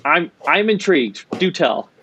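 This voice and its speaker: A man's voice: a little high, big pitch swings, a little slow, bright, forceful tone, slight background noise. A man hosting an interview, asking questions in an enthusiastic, conversational way. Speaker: interviewer and podcast host.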